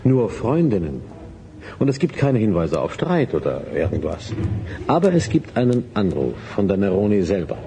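A man's voice: on the low side, big pitch swings, moderate pace, mellow, slight background noise. A man playing an earnest agent in a radio drama, reporting to a superior in a businesslike, slightly hesitant way. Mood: serious, concerned. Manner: businesslike, explanatory, a little hesitant. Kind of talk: German radio drama acting.

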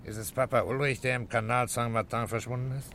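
An actor playing a terse, shrewd, commanding police inspector in a 1960s German radio play. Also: male voice, mid-range voice, steady tone, brisk, gravelly, a bit bright. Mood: stern. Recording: noisy old radio play recording.

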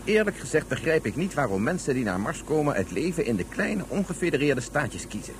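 Dismissive lecturing father, sci-fi radio drama, lively up and down voice, bit of noise. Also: on the high side, a little fast. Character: opinionated, impatient father, principled federation loyalist. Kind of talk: Dutch science fiction radio drama acting.

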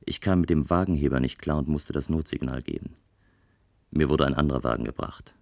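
An actor playing a weary, reflective tower builder who narrates a radio play in a measured, matter-of-fact way. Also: male voice, extremely low pitch, darkish tone, no echo, studio quality. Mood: sober, reflective.

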